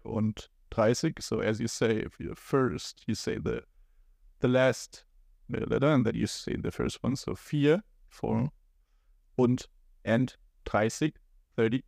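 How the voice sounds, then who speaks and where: A man's voice: medium pitch, fairly even intonation, slow, creaky, darkish tone, no room sound, studio quality. A young man with a German accent, chatting as a podcast guest, hesitant and thinking aloud. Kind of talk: casual podcast chat.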